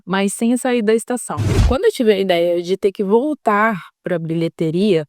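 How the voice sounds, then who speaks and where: Young adult woman, São Paulo Brazilian accent, vlogger telling a story with earnest drama; dry, mellow. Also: mid-range voice, dry recording. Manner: earnest, dramatic retelling with quoted thoughts. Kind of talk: storytelling vlog.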